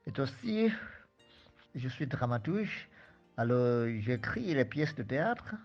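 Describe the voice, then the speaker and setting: A man from Malawi speaks slowly and hesitantly, pausing often on fillers as he answers an interviewer. His voice has a gravelly edge. The recording is dull and lo-fi, with faint music behind him. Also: medium pitch. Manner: hesitant, many fillers and restarts. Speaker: French teacher, guest.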